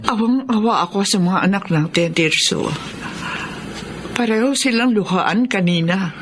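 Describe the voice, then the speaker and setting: An actress playing a gentle, caring middle-aged mother in a Filipino radio drama, soothing and motherly. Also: mid-range voice, unhurried, over music, close to an everyday voice. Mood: pitying.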